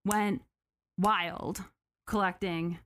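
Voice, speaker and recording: A young American woman co-hosting a casual podcast, chatty and jokey, full of "like" fillers and quick asides. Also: medium pitch, steady tone, a bit bright. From United States. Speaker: podcast co-host.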